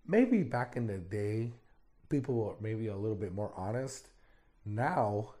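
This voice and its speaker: Mellow-voiced man hosting a solo podcast. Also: mid-range voice. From Arizona, United States. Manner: rambling, repeats words often. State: recovering from lost voice. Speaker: podcast host. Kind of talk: casual solo podcast talk.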